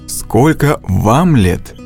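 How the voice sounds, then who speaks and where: A man reading scripted narration for a language course, with slow, deliberate instructional delivery and a close studio mic. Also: mid-range voice, expressive, creaky, a little mellow, soft background music.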